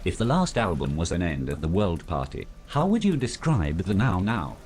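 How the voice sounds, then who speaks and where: A man's voice: low voice, sing-song, normal speed, darkish tone. A man acting as interviewer and narrator, reading scripted introductions and questions.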